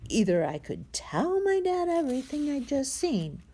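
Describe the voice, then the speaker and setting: A woman reading a children's story aloud in a dramatic, suspenseful manner, with a husky, breathy voice that drops into hushed tones. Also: high-pitched, huge pitch swings, moderate pace. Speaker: storybook reader.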